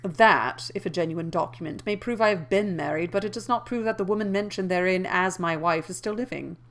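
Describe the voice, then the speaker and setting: A woman voicing a proud, angry gentleman who makes curt, scornful demands. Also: fairly low pitch, brisk, close to an everyday voice. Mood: challenging. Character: proud, defiant, angry gentleman with commanding temper.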